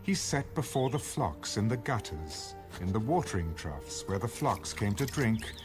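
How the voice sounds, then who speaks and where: A man narrating scripture for a dramatized audio Bible in a measured storytelling manner. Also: fairly low pitch, sing-song. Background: background music.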